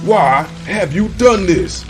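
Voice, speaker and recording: Man acting alarmed ancient sailor, high and pleading. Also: expressive, slow, over music. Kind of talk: dramatized scripture reading.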